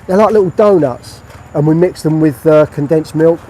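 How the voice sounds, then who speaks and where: A man's voice: a little high, moderate pace, mellow, a little hiss or hum. A middle-aged man from England, chatting casually on a live-stream walking tour of a street market. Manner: chatty, friendly, narrating while walking. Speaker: vlogger.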